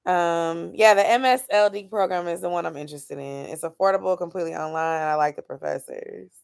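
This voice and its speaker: Woman, late 20s, casual vlog check-in, lowish smooth voice, dry close sound. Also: brisk, no room sound. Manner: candid, thinking aloud about plans, reads chat comments. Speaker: YouTuber doing a weekly check-in. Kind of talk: casual chat with a live chat.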